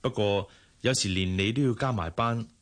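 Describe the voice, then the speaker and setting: An actor playing a courteous, hardworking general manager in a radio drama, measured and polite, with a Hong Kong Cantonese accent. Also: male voice, fairly low pitch, close to an everyday voice. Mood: businesslike. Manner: measured, polite, familiar with subordinate.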